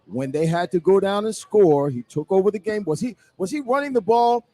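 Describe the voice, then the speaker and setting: A man's voice: sits high, lively intonation, a little fast, warm tone. A man giving football commentary as a radio co-host, emphatic and repeating phrases for weight. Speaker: sports radio analyst and co-host. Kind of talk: football commentary in casual discussion. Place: live remote broadcast at a casino.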